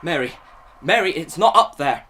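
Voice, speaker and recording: A woman playing a gruff blustering husband. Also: low voice, lively intonation, moderate pace, a bit bright, slight background noise. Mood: alarmed. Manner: blustering, demanding answers. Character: anxious middle-aged husband and father. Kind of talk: student radio drama acting.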